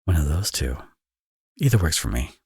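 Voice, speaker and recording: A man's voice: extremely low pitch, sing-song, moderate pace, darkish tone, slightly rough, no room sound, clean studio sound, heavily acted. A man playing a teasing, flirtatious villain and doting father in an intimate romance audio roleplay. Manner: playful, smug, sarcastic teasing.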